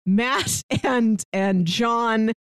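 A woman's voice: a little high, very slow, smooth, no echo. Chatty woman podcast host, dry sound. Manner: chatty, asks questions, then recaps at length. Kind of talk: casual chat recapping a show.

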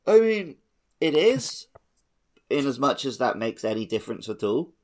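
A man's voice: mid-range voice, very animated, moderate pace, crowd noise. A man chatting casually as a co-host on a podcast.

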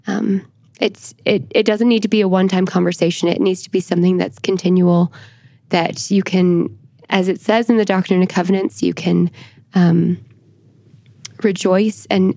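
A woman speaking as an expert podcast guest, explaining things in an earnest, measured and reflective manner. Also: lowish voice, gravelly, a little mellow, no echo. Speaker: podcast guest, church historian. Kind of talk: thoughtful explanatory discussion.

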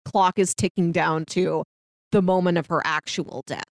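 A woman's voice: on the low side, fairly even intonation, normal speed, creaky, no echo, studio quality. A woman chatting casually about a film as a podcast co-host.

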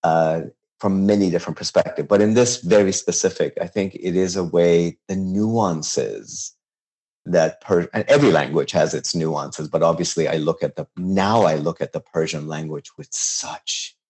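A man's voice: low-pitched, darkish tone. A man in his fifties from Iran, a chef talking as an interview guest in a casual, reflective way, often restarting his sentences. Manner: reflective, halting, with frequent restarts and light laughs.

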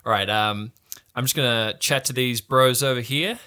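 A man's voice: medium pitch, normal speed, sharp tone, smooth, voice pushed up, close to an everyday voice. Man doing a breezy teenage dude cult leader. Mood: breezy, self-important. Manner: slangy surfer-dude drawl, breezy and self-important. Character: laid-back fifteen-year-old boy, self-elected camp cult leader.

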